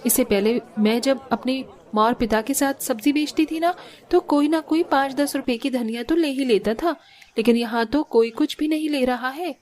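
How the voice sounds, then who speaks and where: A woman's voice: high-pitched, normal speed, darkish tone, close to an everyday voice. A woman voicing a poor, earnest young daughter-in-law in a cartoon family story. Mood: dismayed. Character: young poor daughter-in-law, anxious, sensible and plain-spoken.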